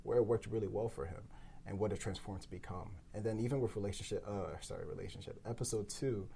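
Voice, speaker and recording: Low gravelly-voiced man, easygoing. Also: fast, dark tone, slight background noise. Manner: thoughtful, rambling with many fillers. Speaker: podcast co-creator. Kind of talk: casual round-table discussion.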